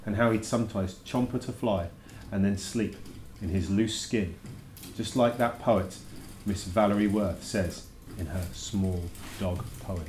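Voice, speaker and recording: A reluctant schoolboy, acted out by a man in a fairly low voice, reading his journal from a children's storybook. He is grudging and full of questions, pressing each protest with emphasis. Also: unhurried, darkish tone, a little hiss or hum, lightly acted. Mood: earnest, thoughtful. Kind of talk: dramatic read-aloud of a children's verse novel.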